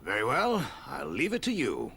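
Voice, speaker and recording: An older man playing a dignified, wary but jolly Father Christmas in a cartoon game, measured and grandly expressive. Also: medium pitch, slightly rough, full character voice. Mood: wary, stern. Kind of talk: video game cartoon voice acting.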